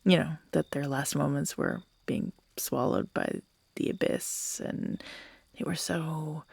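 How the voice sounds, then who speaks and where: A woman acts out an obsessive yandere lover in an intimate audio roleplay. The voice is low-leaning and slow, with creaky edges and frequent breathy whispers. She coos with tender menace, halting as if barely holding herself together. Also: animated, a little mellow, no room sound, heavily acted. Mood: menacing, gleeful. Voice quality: breathy, often dropping into whispers. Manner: slow, halting, cooing, broken by giggles and sighs.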